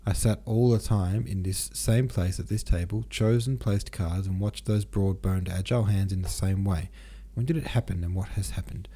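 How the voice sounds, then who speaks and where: A man's voice: deep voice, steady tone, moderate pace, hardly any pauses, mellow, husky. A man reading the part of a distraught young Russian count in an audiobook, anguished and questioning. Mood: anguished, bewildered. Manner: anguished, questioning inner monologue.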